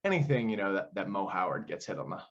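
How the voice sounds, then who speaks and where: A man's voice: low voice, very animated, fast, fairly bright tone, muffled recording. A man answering interview questions conversationally as a director, explaining things and often posing rhetorical questions. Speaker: audio play director being interviewed.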